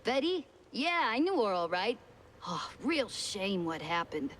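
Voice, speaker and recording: A woman's voice: medium pitch, big pitch swings, unhurried, slight background noise. A woman playing a brash, cheerful cartoon mouse pyrotechnist in a game, with cocky, streetwise talk. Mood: chatty, candid. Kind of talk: 1930s cartoon game acting.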